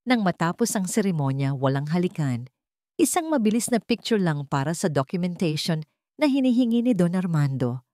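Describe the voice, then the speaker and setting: Female storyteller, lowish pitch, dry close sound, scripted romance drama narration. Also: very animated, moderate pace, dark tone, no echo.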